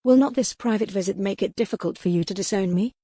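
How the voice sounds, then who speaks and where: A woman's voice: mid-range voice, quick pace, dark tone, dry recording, lightly acted. A woman playing a proud young noblewoman. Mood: cutting. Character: young noblewoman, proud captive wife, earnest and sharp-tongued.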